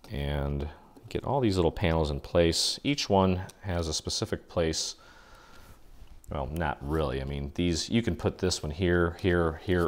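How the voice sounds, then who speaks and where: Very deep-voiced man explaining a craft. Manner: relaxed, chatty, thinks aloud with fillers. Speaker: craft tutorial host. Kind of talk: casual step-by-step craft tutorial.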